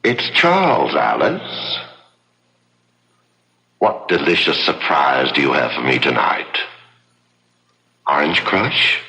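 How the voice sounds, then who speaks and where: Deep slow old ghost guy, begging and wheedling, forceful voice, vintage radio play. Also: sing-song, gravelly, heavily acted. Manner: imploring, melodramatic. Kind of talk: 1970s radio drama acting. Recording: noisy old radio broadcast.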